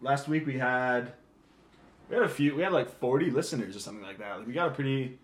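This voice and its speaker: A young man chats loosely as a podcast co-host, wandering through explanations and filling gaps with "um" and "like." He sits at a middle pitch, and the room around him rings with echo. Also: lively intonation, quick pace. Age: young adult. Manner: rambling, loose explanations with many fillers. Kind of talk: casual podcast chat.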